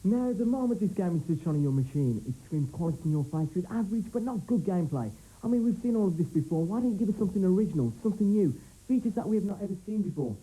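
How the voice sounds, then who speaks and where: A man presenting a video game review, brisk and emphatic. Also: high-pitched, lively intonation, dark tone, low-quality recording. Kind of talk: comic review segment.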